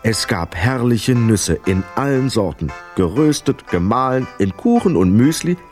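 Casting: man as children's story narrator, mid-pitched and expressive, music underneath. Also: measured pace. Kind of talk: scripted storytelling narration for children's radio play.

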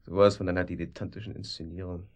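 An actor playing a domineering, controlling man in a radio drama, pressing with questions and swinging between sharp and soothing. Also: low-pitched, flat delivery, quick pace, muffled recording. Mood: condescending, coaxing. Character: domineering, controlling man, cynical and coaxing, hiding guilt. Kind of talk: naturalistic radio drama acting. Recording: studio radio play.

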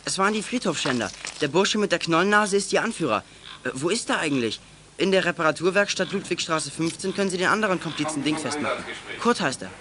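A man's voice: a little high, animated, quick pace, pushed and bright. A young male actor playing an earnest, urgent teenage boy detective in a 1980s youth audio drama. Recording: noisy old audio drama recording.

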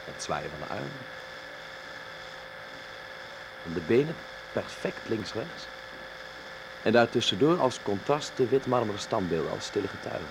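An actor playing an earnest, dreamy young Dutch filmmaker in a radio drama, speaking politely and precisely with a husky, creaky voice. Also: male voice, lowish voice, sing-song, moderate pace, background noise. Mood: earnest, explaining. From Netherlands. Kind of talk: radio drama acting with letter narration.

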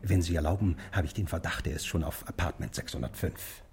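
An actor playing a deferential male hotel reception manager in a German radio drama, husky and discreet. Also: sits low, a little fast, darkish tone, slight background noise, natural voice. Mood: discreet, urgent.

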